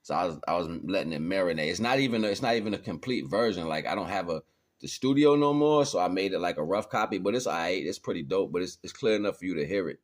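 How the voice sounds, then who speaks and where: Deep-voiced American man, laid-back streamer. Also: lively intonation, fast. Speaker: streamer and musician presenting own song. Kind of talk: casual livestream chat.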